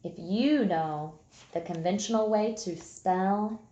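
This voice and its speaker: Woman, early grades teacher, unhurried pace, deliberate enunciation, read-aloud lesson, roomy echo. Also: mid-range voice, dark tone, highs cut off. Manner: simple, instructional, enunciates key words deliberately. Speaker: early elementary school teacher. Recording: echoey room sound.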